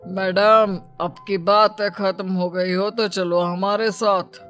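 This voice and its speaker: A woman's voice: mid-range voice, steady tone, takes it slowly, fairly bright tone, soft background music. A woman voicing a stern, official police inspector in a moral story. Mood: firm.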